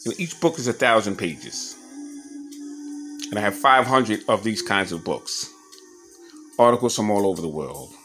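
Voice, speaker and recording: A man giving a casual show-and-tell commentary as an enthusiastic collector, halting a little as he reads old clippings aloud. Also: lowish voice, lively intonation, fairly bright tone, faint music behind. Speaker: boxing memorabilia collector showing his scrapbooks on video.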